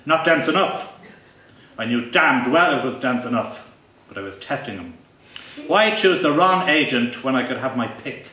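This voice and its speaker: A man giving a live comic reading from his novel in a pompous, deadpan, mock-grandiose manner. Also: medium pitch, moderate pace. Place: live event venue. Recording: roomy, distant live recording with faint noise.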